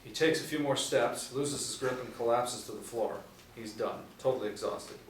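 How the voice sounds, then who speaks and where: A man's voice: mid-range voice, normal speed. Male narrator, 40s, reading script directions; roomy, distant mic with faint noise. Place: small indoor room. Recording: echoey room, distant mic, background noise.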